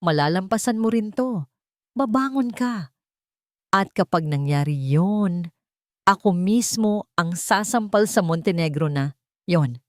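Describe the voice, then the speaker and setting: A woman's voice: on the low side, unhurried, long pauses, no room sound, studio quality, close to an everyday voice. Woman voicing a fiercely loyal, emphatic best friend. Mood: indignant, protective.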